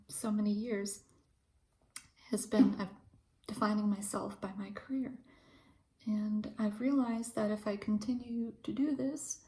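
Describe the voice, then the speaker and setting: A woman in her thirties from Ukraine, a pharmacist, talking through her thoughts in a hesitant, reflective monologue with frequent pauses and fillers. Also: a little high, creaky. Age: 30s. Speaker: pharmacist and video host.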